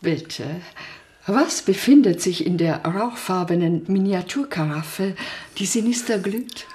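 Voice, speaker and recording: Slow, swooping, affected society lady. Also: lowish voice, lively intonation, mellow. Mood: morbidly curious. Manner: affected, drawn-out, elegant salon diction. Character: refined, morbidly curious society lady, easily enchanted. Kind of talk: German radio play acting. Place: studio radio production.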